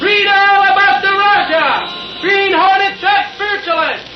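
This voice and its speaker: An actor playing a newsboy hawking papers with a singsong street cry in an old-time radio drama. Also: male voice, very high-pitched, measured pace, noisy background. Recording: muffled old radio recording, music behind.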